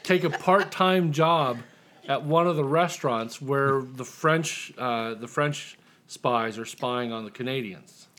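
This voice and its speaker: Guy author chatting on a podcast, mid pitched voice, kinda dry and wry storytelling. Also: slow, a bit bright, a little hiss or hum. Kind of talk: casual interview chat, telling his story.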